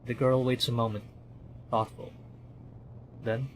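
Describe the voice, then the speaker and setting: A man's voice: medium pitch, little pitch movement, a little slow, slight background noise. A man from the Philippines, a streamer reading a horror visual novel aloud in a dramatic storytelling manner, with commentary.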